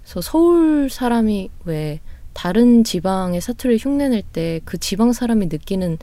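A woman co-hosting a casual talk podcast, reflecting slowly and deliberately, often hedging her points. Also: mid-range voice, big pitch swings, dark tone. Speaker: podcast co-host. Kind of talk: casual chat.

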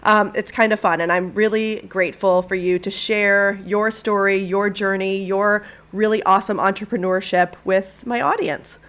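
A woman hosting a podcast, chatty and upbeat, clearing her throat and sniffling a little after an illness. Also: medium pitch, fairly even intonation, normal speed, few pauses, a bit bright. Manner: upbeat, chatty, self-deprecating. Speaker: podcast host. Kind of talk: podcast interview and intro.

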